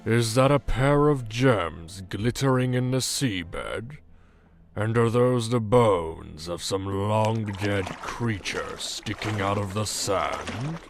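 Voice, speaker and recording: A man voicing a sly, smug sea villain in an animated fan dub, theatrical and taunting as he savors his words. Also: lowish voice, slow, music in the background.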